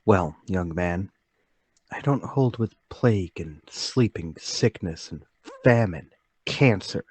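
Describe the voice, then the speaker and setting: An older gentleman played by a man in a radio mystery, low and husky. He is polite, haunted and forgetful, and he picks out his words slowly in a dry, close sound. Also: expressive, heavily acted. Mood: subdued, grave. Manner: halting, polite, deliberate. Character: troubled, forgetful, soft-spoken older gentleman, haunted and deliberate. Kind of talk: radio mystery drama table read.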